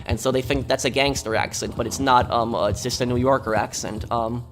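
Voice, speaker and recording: Male streamer from New York, mid-pitched, chatty and rambling; reads viewer chat live. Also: steady tone, brisk, a bit bright, slight background noise. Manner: rambling and talkative, with many restarts. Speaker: game streamer.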